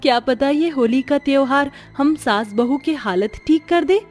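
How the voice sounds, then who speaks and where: A woman's voice: high voice, a little slow, a little mellow, faint music behind, voice pushed up. A woman voicing a caring, sensible young village daughter-in-law in a family story. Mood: reassuring.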